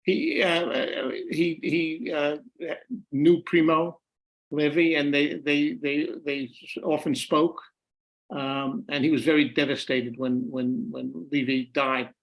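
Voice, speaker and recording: A man's voice: a little high, fairly even intonation, slow, creaky, rough recording. An older man, an author being interviewed on a video call, answering thoughtfully with many restarts and repeated words. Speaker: author and former journalist, guest. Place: home room.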